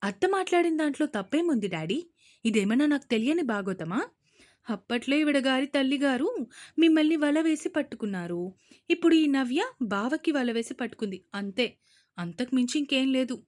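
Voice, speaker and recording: A woman's voice: high voice, lively intonation, moderate pace, darkish tone. A woman voicing an arrogant, spoiled young daughter who answers back haughtily in a Telugu audio story. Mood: haughty. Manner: haughty, sulky.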